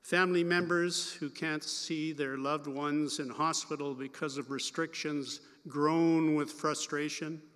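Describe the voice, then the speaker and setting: An older Canadian man reads scripture and preaches from a church pulpit at a slow, careful pace. His voice sits a little high for a man and carries a long reverb through the large sanctuary. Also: fairly even intonation, fairly bright tone. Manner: slow, measured reading. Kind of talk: scripture reading and sermon. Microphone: pulpit microphone at lectern.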